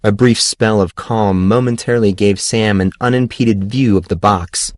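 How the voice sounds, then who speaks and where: A man's voice: on the low side, fairly even intonation, moderate pace, dry recording. Man narrating fiction in a dry recording. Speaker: audiobook reader.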